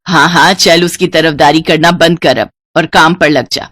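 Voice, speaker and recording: A woman's voice: sits low, steady tone, normal speed, fairly bright tone, no room sound, clean studio sound, voice pushed down. A woman voicing a scheming older mother-in-law who nags and needles in a storybook tale. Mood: sly goading. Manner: needling, complaining.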